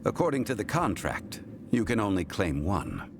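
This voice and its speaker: An actor playing a composed, learned man who holds strictly to contracts, speaking in a calm, formal, measured way. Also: lowish voice. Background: game music.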